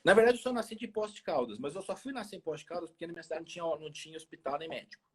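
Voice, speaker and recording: A man's voice: on the high side, very fast, breathy edge. A man of forty with a rural Minas Gerais Brazilian accent, chatting casually and colloquially about his own life, repeating phrases for emphasis. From small town in Minas Gerais, Brazil. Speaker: health expert guest on a live chat. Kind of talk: casual chat with personal stories. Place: remote social media live video call.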